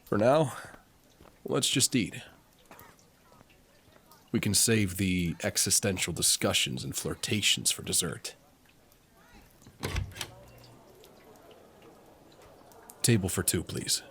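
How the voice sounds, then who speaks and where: A man playing a suave, teasing, flirtatious military officer and alchemist in an intimate roleplay audio, speaking smoothly. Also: sits low, lively intonation, a bit bright, full character voice. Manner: smooth, unhurried, playfully teasing.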